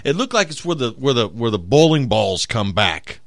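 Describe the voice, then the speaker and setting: A man's voice: mid-range voice, big pitch swings, moderate pace, vocal fry, fairly bright tone, no echo. A man co-hosting a podcast in casual, joking banter, often trailing off mid-thought. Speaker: podcast co-host. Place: small studio room.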